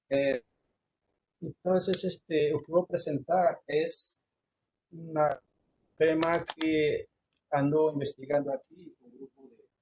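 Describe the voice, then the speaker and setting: A man's voice: fairly high pitch, darkish tone, slight background noise, rough recording. A man, giving an online university lecture with many fillers and restarts, slipping into Portuguese words. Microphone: computer microphone on a video call.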